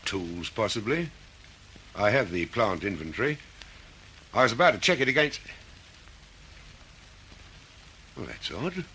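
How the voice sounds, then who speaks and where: Casting: formal company boss, low voice, hugely animated intonation, flustered, old radio recording. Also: male voice, moderate pace, a little hiss or hum, heavily acted, low-quality recording. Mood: dismayed, puzzled. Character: flustered, well-mannered male factory manager. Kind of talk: old radio detective drama acting.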